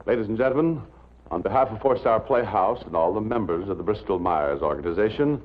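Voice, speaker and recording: A male host speaks in a low, formal voice. Organ music plays clearly behind him on a dull 1950s television soundtrack. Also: lively intonation, fairly quick. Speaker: programme host.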